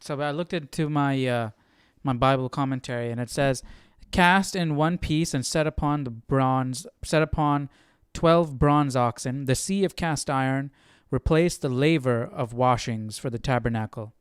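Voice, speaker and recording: Clear-voiced young man thinking aloud. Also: medium pitch, unhurried, dry recording, studio quality. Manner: hesitant, repeats words while working things out. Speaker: Bible study livestream host. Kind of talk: casual think-aloud commentary.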